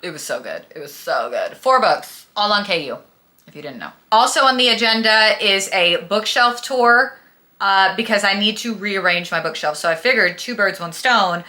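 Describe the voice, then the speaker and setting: A woman's voice: medium pitch, expressive, normal speed, bright, forceful tone. A young adult woman chatting casually and emphatically to camera as a book vlogger, with a gushing manner. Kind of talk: casual chat about books to camera.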